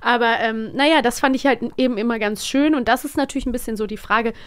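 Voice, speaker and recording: Woman co-host, 30s, a bit high, loose rambling studio chat. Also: quick pace, clear, resonant tone. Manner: chatty, laughs often, trails off mid-thought. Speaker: podcast co-host. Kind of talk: casual chat.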